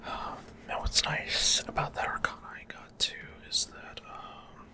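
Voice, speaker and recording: A man giving ASMR gameplay commentary in a soft, unvoiced whisper, hesitant and unhurried with trailing pauses. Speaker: ASMR gaming streamer.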